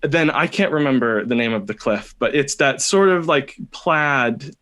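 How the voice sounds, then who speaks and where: A man with a Californian American accent, telling a personal story casually as a podcast guest, rambling and pausing often. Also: mid-range voice, expressive, a bit bright. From California, United States. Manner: hesitant, rambling, with many pauses.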